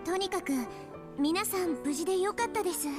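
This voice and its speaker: Sweet young anime heroine, extremely high and quick, courteous, over clear game music. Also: female voice. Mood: polite, concerned. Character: polite, sweet, apologetic young anime woman. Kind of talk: Japanese visual novel voice acting. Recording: game audio with music behind.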